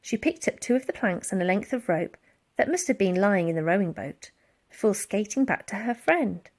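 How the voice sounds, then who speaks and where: A woman reading a children's storybook aloud in a dramatic, expressive narrating style. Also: mid-range voice, normal speed. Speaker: audiobook reader.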